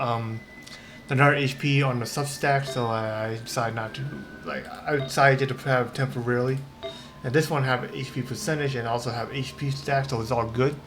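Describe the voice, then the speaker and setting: A man's voice: medium pitch, fairly bright tone. Rambling man streaming casual gameplay. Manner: hesitant, rambling, repeats his words.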